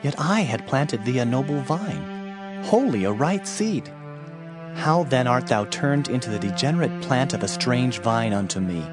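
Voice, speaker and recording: A man narrating an audio Bible as a dramatized reading, with a solemn, declamatory delivery. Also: medium pitch. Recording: music bed behind narration.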